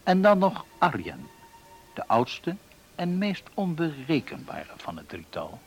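Slow, lively, low-pitched male narrator. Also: vocal fry, slightly rough, faint music behind. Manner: storytelling, deliberate phrasing. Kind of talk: scripted radio drama narration.

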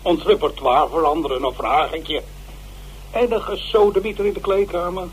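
A man's voice: high-pitched, steady tone, normal speed, a little hiss or hum, close to an everyday voice, rough recording. An actor playing a fussy, gossipy man of the theatre in a radio drama, indignant and scornful. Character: peevish, gossipy male comedy-theatre player, indignant and fussy. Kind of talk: Dutch radio drama monologue.

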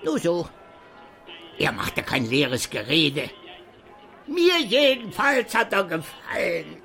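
An old asthmatic man in a radio drama, high and bright with a creaky wheeze. He philosophizes with cheerful cynicism, lurching abruptly between murmurs and outbursts. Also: sing-song, slow pace, faint music behind, exaggerated voice. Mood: amused, defiant. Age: older. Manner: philosophizing, chuckling, abrupt swings in volume. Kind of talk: radio play acting.

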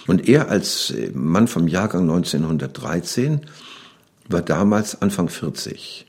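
A man's voice: low-pitched, lively intonation, measured pace, darkish tone, slightly rough. A man giving explanatory comments in an interview.

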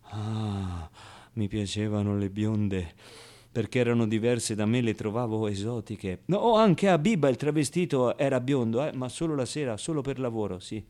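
An actor playing a naive, streetwise young man recalling his boyhood in a confiding, colloquial radio monologue with dramatic pauses. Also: lowish voice, warm tone, natural voice. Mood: tender, wondering.

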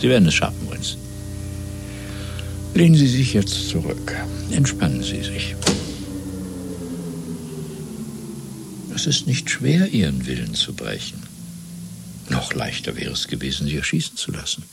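An actor playing a cold, fanatical interrogator in a radio play, deliberate and patronising, with a gravelly voice that often sinks to a whisper. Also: male voice, extremely low pitch, animated, music in the background, full character voice. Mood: patronising calm. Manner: deliberate, patronising, swinging between soft and commanding. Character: cold, fanatical male Party interrogator and torturer. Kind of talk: 1970s German radio play acting.

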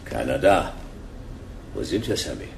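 An actor playing a dry, hardboiled private detective, laconic and deadpan, in a noir radio play. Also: male voice, rumbling low, unhurried, vocal fry, background noise, full character voice. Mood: laconic, brisk. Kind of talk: first-person noir radio play narration and dialogue.

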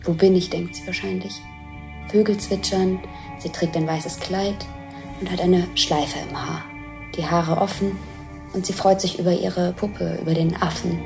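An actress playing an observer who plainly describes a fairy-tale scene for a radio drama, feeling for words. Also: female voice, on the low side, steady tone, measured pace, vocal fry, music in the background. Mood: observing.

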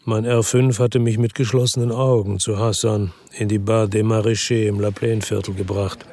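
A man's voice: lowish voice, level tone, moderate pace, mellow, lightly acted. An actor playing a world-weary former policeman from Marseille, narrating his case soberly and laconically in a radio drama. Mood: dry, matter-of-fact. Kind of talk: first-person radio drama narration and dialogue.

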